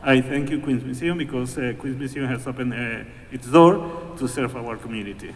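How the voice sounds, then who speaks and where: A man interpreting live from Spanish at a panel, halting and full of fillers, correcting himself as he goes. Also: medium pitch. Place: museum event hall. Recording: echoey hall with faint noise.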